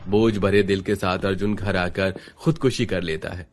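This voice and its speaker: A man's voice: sits low, steady tone, moderate pace. A man narrating a scripted story in a dramatic storytelling style.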